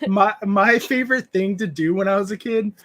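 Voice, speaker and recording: A man's voice: high-pitched, normal speed, a bit bright. A man in his thirties hosting a casual chat, joking and laughing often. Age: 30s. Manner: joking, laughs often, does quoted voices. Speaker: host.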